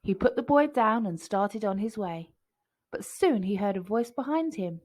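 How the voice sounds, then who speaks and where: A woman storyteller reading a children's story aloud in an expressive way. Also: mid-range voice, normal speed, husky.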